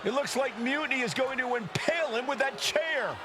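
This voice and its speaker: A male wrestling play-by-play announcer calls the action in a very high, excited voice. His delivery is emphatic and dramatic, amid loud arena noise. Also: a little fast. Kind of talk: excited sports commentary in a video game.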